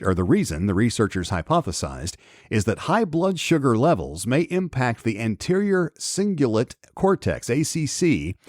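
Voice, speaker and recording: A middle-aged man hosting a solo podcast, slightly hoarse, chatting conversationally with many ums and restarts as he reads news aloud. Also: mid-range voice, a little mellow, no room sound, clean studio sound. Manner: conversational, repeats words and restarts, frequent ums. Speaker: podcast host.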